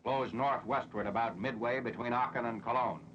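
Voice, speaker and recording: A man's voice: mid-range voice, normal speed, fairly bright tone, a little hiss or hum. An American man narrating a 1940s military newsreel in a formal, matter-of-fact way. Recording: dull, lo-fi 1940s film soundtrack.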